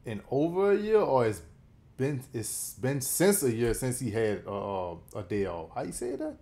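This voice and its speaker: A man's voice: mid-range voice, big pitch swings, normal speed, mellow. A man in his thirties hosting a reaction video at home, chatting in a casual, slangy way and chuckling often. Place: small room at home, indoors. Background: faint song playing.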